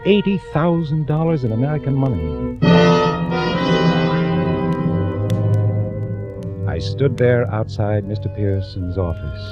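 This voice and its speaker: A man's voice: mid-range voice, expressive, normal speed, natural voice. An actor playing a meek, discontented bank clerk who confides his story with wry brooding, in 1940s radio drama style. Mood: resentful, dreamy. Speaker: narrator and lead actor. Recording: muffled old radio recording with music.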